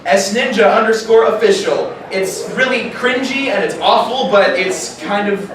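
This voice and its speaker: A man's voice: sits high, normal speed. A man speaking casually and animatedly as a voice actor answering questions on a panel, with informal, slangy phrasing. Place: large indoor convention panel room. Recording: very echoey, distant, noisy convention room.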